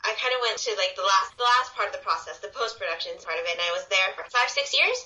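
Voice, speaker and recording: Bright-voiced young woman, slightly dull recording. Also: mid-range voice, fast. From Taiwan. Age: young adult. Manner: talkative, explains in run-on sentences with frequent "like". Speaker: interview guest, social media video producer. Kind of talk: casual interview chat.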